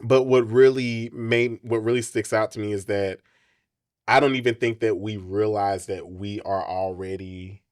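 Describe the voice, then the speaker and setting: A man's voice: fairly low pitch, fairly even intonation, measured pace, a little mellow. A man hosting a casual interview, speaking supportively with enthusiasm and casual slang. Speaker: interview host.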